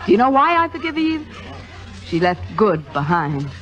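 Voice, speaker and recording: A woman's voice: a little high, big pitch swings, normal speed. A grand middle-aged stage diva in vintage radio drama. Her delivery is exuberant, emphatic and playful, with theatrical flourish. The sound is muffled and old, with faint music. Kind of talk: 1950s radio drama acting.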